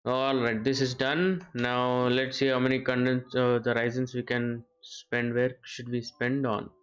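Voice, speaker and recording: A man's voice: medium pitch, little pitch movement, unhurried, highs cut off. A man, chatting casually with viewers as he streams a game, often repeating short phrases. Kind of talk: casual live gameplay chat with viewers.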